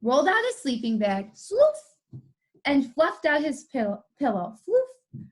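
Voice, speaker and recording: A woman's voice: high voice, huge pitch swings, slow pace. A woman reading a picture book to young children, with playful sound words and short chatty reactions. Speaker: read-aloud co-presenter for young children. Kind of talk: animated storybook narration with chatty asides.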